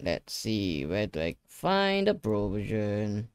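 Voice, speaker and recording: A man's voice: fairly low pitch, wildly swooping pitch, slow, clean tone, no echo. Casual young man giving a tutorial, dry sound. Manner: informal, repeats phrases, addresses viewers. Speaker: tutorial host. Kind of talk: casual screen-share tutorial. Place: indoor gaming room at a desk.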